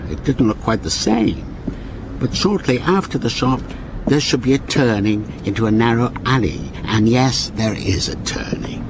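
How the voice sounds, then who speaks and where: Radio ghost story: male actor as a courteous, insistent gentleman storyteller, measured and solicitous, animated intonation, clear background noise. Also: medium pitch, close to an everyday voice. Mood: atmospheric, suspenseful.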